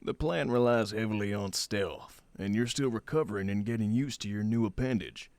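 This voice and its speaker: A man playing a calm, matter-of-fact medic in an audio drama, with measured, formal phrasing. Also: on the low side, dry recording, close to an everyday voice. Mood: firm, apologetic.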